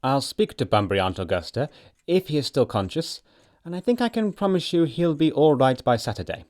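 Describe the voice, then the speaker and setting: A man playing a flippant young upper-class English gentleman, glib and airy, in an audiobook stage comedy. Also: mid-range voice, sing-song, fairly quick, lightly acted. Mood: breezy, placating. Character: flippant, smooth-talking young gentleman nephew.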